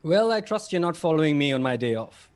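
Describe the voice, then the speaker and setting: A man's voice: on the high side, big pitch swings, a little fast. A man playing a dry, loyal, deferential British butler in a comic table read. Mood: wry, concerned. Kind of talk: online comedy table read.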